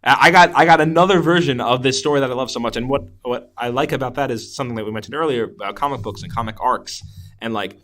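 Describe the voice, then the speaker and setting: A man with an average-pitched voice talks casually as a podcast co-host. He is enthusiastic and rambles through his thoughts on a film, breaking easily into laughter. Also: fast, fairly bright tone. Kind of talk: casual film review chat.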